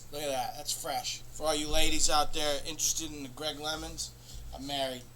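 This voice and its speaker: A man hosting a comedy show, rambling through an absurd, profane monologue in a deadpan way. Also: fairly high pitch, normal speed, heavy background noise. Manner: rambling, profane, deadpan nonsense with sudden bursts of laughter.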